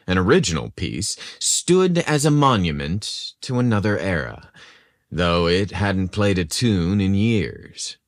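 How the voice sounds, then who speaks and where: A man narrating scripted fiction in a descriptive, deliberate storytelling manner with expressive emphasis. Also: lowish voice, no room sound, clean studio sound.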